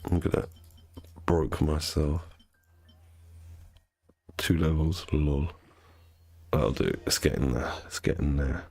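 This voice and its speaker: Low nasal-voiced man, chatty and rambling. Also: takes it slowly, pauses often, darkish tone. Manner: rambling, self-correcting, chatty. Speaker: game streamer. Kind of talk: casual chat while gaming.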